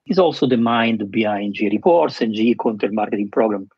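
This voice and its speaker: A man hosting a webinar interview, speaking in a structured way with frequent pauses. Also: fairly low pitch, slightly rough, dry recording, highs cut off. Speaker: host.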